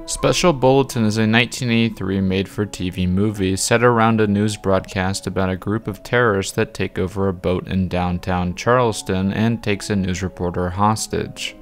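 A man's voice: deep voice, fairly even intonation, moderate pace, hardly any pauses, soft background music. A man narrating a scripted video essay as its presenter.

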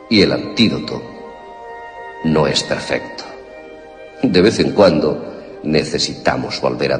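A ruthless, tormented scientist in a Castilian Spanish suspense radio play. The voice is low and theatrical, swinging with authority through long reverb. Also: male voice, full character voice. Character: ruthless, tormented scientist father justifying monstrous experiments. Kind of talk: suspense radio drama acting. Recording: long reverberation, music underneath.

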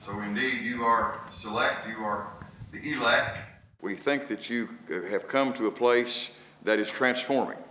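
A man's voice: mid-range voice, steady tone, unhurried, pushed and bright, a little hiss or hum. An older man, a law school dean, giving a formal welcome speech from a podium in a wood-paneled hall. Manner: formal, declaiming. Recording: echoey hall.

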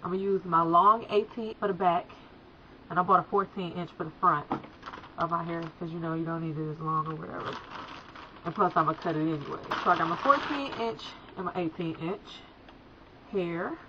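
A woman's voice: lowish voice, a little fast, background noise. Young woman with a slight cold, lo-fi. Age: young adult. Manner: chatty, addresses viewers as guys. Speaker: hair tutorial vlogger. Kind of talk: casual how-to talk. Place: small indoor room by a folding screen. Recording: dull lo-fi sound with music behind.

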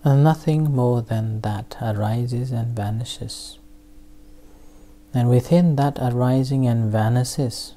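Meditation guide: unhurried, halting delivery in short phrases, soft dark tone, mid-low pitch. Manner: halting, with long pauses between short phrases. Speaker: meditation teacher. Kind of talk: guided meditation, slow spoken instruction.

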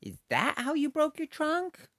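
A woman's voice: high-pitched, huge pitch swings, unhurried, a bit bright, dry recording, studio quality, full character voice. A woman reading a picture book aloud, voicing a curious pig friend who keeps asking questions. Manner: curious, wide-ranging questioning voice. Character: eager little pig friend.

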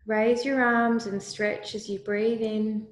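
A woman leading a guided meditation with calm, soothing, deliberate instructions. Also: on the high side. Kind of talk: slow guided meditation narration. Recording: roomy, echoey voice recording.

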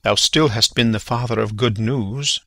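An actor playing a stately, commanding king in a classical drama reading, formal and measured. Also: lowish voice, creaky, sharp tone, dry recording, full character voice. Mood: expectant.

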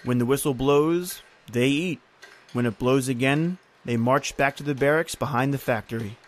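A man's voice: medium pitch, normal speed, natural voice. A man playing a grim, bitter factory overseer with folksy speech in an old-time style radio horror drama. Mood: bitter, taunting. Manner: folksy, bitter, uses "aye" and "ain't". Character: grim, taunting male factory overseer on an island. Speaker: actor in audio horror drama.

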